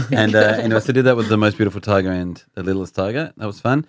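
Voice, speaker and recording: A man's voice: fairly low pitch, fast, no echo, clean studio sound. A man with an Australian accent co-hosting a podcast in casual chat, joking and self-mocking. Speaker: podcast co-host.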